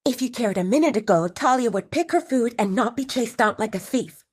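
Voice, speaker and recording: A woman voicing a stern, protective female werewolf second-in-command in an audio drama, firm and sharp-tongued. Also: a little high, animated, a little fast, slightly rough, dry recording, clean studio sound, pitched above the performer's own voice. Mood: sarcastic. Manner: firm, sarcastic.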